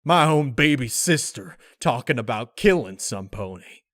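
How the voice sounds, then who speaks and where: A man voicing a big, gentle but stern farm pony who talks in a slow, grave, folksy way for a story reading. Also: mid-range voice, animated, no echo, clean studio sound. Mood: upset, disapproving.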